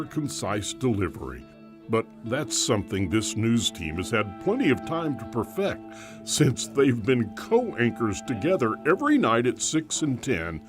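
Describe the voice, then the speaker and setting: A man narrating a television feature story in a scripted storytelling style. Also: medium pitch.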